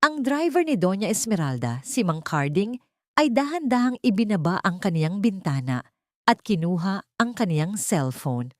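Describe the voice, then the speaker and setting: Woman storyteller with widely swinging pitch. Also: very animated, moderate pace, no room sound, studio quality. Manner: suspenseful, theatrical. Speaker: storyteller, narrator. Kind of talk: dramatic scripted audio story narration.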